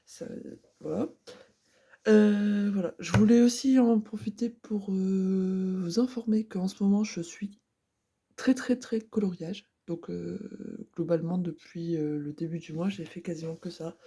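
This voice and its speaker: A woman's voice: medium pitch, warm tone, slightly rough. A woman in her twenties with a stuffy nose from a cold, chatting casually and hesitantly about her crafts. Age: 20s. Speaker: craft vlogger. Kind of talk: casual chat.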